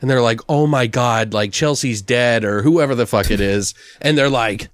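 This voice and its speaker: A man hosting a comedy podcast, bantering casually and profanely in an animated, giggly way as he improvises. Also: mid-range voice, moderate pace, no room sound, clean studio sound. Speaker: podcast host.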